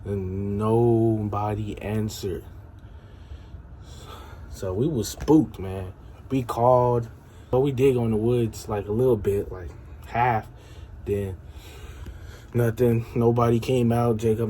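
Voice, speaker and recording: A man's voice: lowish voice, steady tone, takes it slowly, pauses often, mellow, a little hiss or hum. A young adult man telling a story casually, stuttering and repeating himself as he goes.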